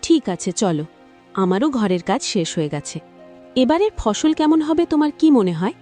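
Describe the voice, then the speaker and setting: An actress playing a busy, practical farmer's wife in a cartoon. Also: female voice, on the high side, very animated, mellow, faint music behind. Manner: brisk, practical. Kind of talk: cartoon voice acting.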